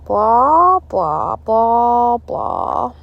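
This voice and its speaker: A woman's voice: a little high, sing-song, drawn-out words, vocal fry, lo-fi sound, pitched above the performer's own voice, heavily acted. A woman voicing an exasperated female schoolteacher from a children's storybook, emphatic and theatrical. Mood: droning. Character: exasperated adult female schoolteacher, scolding and teasing.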